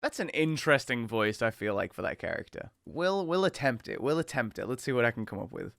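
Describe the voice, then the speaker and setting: A man's voice: mid-range voice, sing-song, brisk, vocal fry, a bit bright, dry recording, clean studio sound. A man in his mid twenties talking casually as a video creator presenting a challenge. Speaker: content creator doing voice acting challenge. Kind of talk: casual chat to camera.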